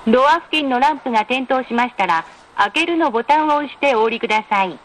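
A woman reading scripted in-car train announcements in a formal, polite, measured way with careful enunciation. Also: sits high, expressive. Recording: noisy train announcement recording.

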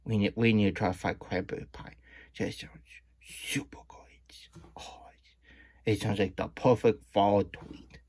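Man voicing a childlike plush hedgehog, husky. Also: lowish voice, fairly even intonation, moderate pace, a little mellow, dry recording, in a whisper. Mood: wondering, sweet. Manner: third-person baby talk with muddled grammar. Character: Loomis, childlike cheerful plush hedgehog reading storybooks. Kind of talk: children's storybook reading with chatty asides. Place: small indoor room at a desk.

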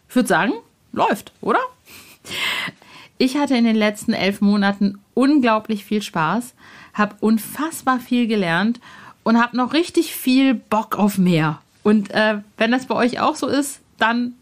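A woman's voice: fairly high pitch, dry recording. A woman in her forties hosting a podcast in a chatty, enthusiastic, casual way. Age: 40s. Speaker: podcast host and interviewer. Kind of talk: casual chat. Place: outdoor roof terrace.

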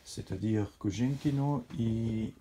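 A man for whom French is not his first language, hosting a model railway channel and talking in a casual, halting way with many fillers. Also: lowish voice, mellow, a little hiss or hum. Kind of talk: casual hobby show-and-tell. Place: indoors beside a model railway layout.

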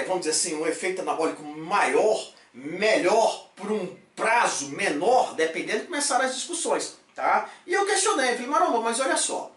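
A man's voice: high voice, a bit bright, distant mic. A man in his thirties hosts a casual fitness vlog in a small room, talking animatedly and tossing in jokey catchphrases. His voice sits back from the mic. The room rings with echo. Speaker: fitness supplement YouTuber. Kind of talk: energetic casual vlog chat. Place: small room with shelves of supplements.

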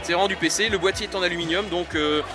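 A man's voice: sits high, rapid-fire, music in the background. A man in his thirties at a convention stand, explaining his collection in a casual, rambling way with many fillers. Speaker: retro computer exhibitor. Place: busy convention hall stand, indoors. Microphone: handheld recorder held by the interviewer.

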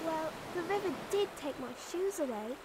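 A woman's voice: very high-pitched, animated, moderate pace, background noise. A woman voicing an earnest, tender-hearted young girl in a cartoon, with a wistful, hopeful manner. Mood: worried, wistful. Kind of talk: animated cartoon acting. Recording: loud background music.

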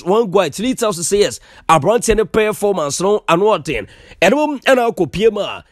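Ghanaian male sports presenter, high voice, dry close recording, code-switching delivery. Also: dry recording. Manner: fast mixing of Twi and English. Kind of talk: sports news voice-over.

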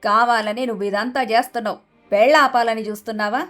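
A haughty, domineering mother-in-law from a cartoon moral tale, acted by a woman. She is middle-aged and sharp-tongued, scolding and scheming in a fairly high voice. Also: steady tone, a little fast, faint music behind, close to an everyday voice. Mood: scornful, angry. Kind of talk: animated moral story dialogue.

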